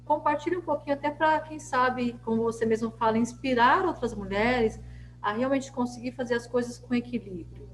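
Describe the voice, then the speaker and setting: A woman's voice: fairly high pitch, moderate pace, soft background music. A woman hosting a conversational interview, recapping details as she leads into her questions. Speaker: interviewer, host.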